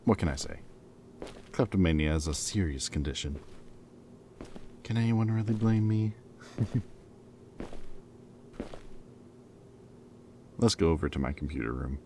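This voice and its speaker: Guy acting as a reformed villain buddy, really low voice, playful n wry, chatty roleplay audio, some noise behind him. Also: expressive, moderate pace, pauses often, mellow. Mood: wry, joking. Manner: casual, wry, hesitant and bantering. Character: former villain, playful and loyal friend to a hero. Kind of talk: audio roleplay acting, casual one-sided conversation.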